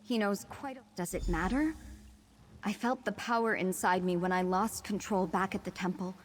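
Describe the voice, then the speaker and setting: A woman's voice: medium pitch, normal speed, a little hiss or hum, lightly acted. A woman playing an anxious, pessimistic young twin sister in a fantasy game, serious and doubtful. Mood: reluctant, troubled. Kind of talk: scripted fantasy game dialogue.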